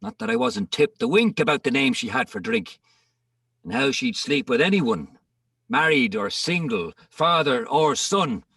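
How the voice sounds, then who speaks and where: A man's voice: a little high, big pitch swings, unhurried, creaky, a bit bright, breathy edge, dry recording, clean studio sound, far from the mic, full character voice. Man performing a scornful husband, wildly swooping delivery. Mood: bitter, wry. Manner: scornful, theatrical, relishing the insults. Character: bitter, bawdy old Irish husband ranting in court. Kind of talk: dramatic verse recitation, comic and declamatory.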